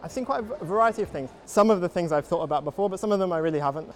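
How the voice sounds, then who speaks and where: Eager guy talking fast, highish voice, faint background noise. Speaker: exhibition visitor.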